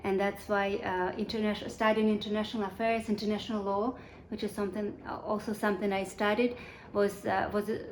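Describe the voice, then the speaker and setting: A woman in her forties from Kazakhstan speaks in a measured, thoughtful way, pausing often to find her words. Her pitch stays fairly level. The room sounds echoey, with a faint hiss underneath. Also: level tone. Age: 40s. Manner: measured, thoughtful, many ums and restarts. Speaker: guest, UN section chief. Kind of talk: interview answers.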